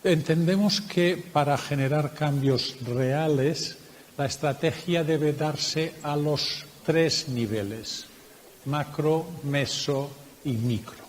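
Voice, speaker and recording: A man with a Spanish accent from Spain, presenting a research project at a conference workshop in a measured, explanatory way with frequent hesitations. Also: a little high, long reverb tail, a little hiss or hum. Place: conference room.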